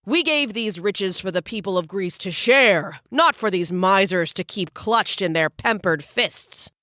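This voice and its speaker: A woman voicing a stern, angry male king of the gods who rumbles and scowls. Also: lively intonation, measured pace, pushed and bright, dry recording, clean studio sound.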